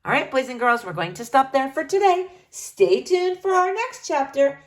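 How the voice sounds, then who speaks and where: A teacher reading a chapter book aloud to young children, with an animated, expressive storytelling manner. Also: female voice, sits high, normal speed. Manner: animated, expressive, singsong address to kids.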